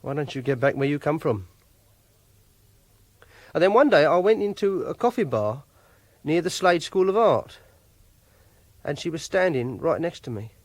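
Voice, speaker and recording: An actor playing an obsessive, lonely, self-pitying English clerk, confiding haltingly in a first-person radio drama monologue. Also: male voice, a little high, animated, no echo. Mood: awkward, confiding.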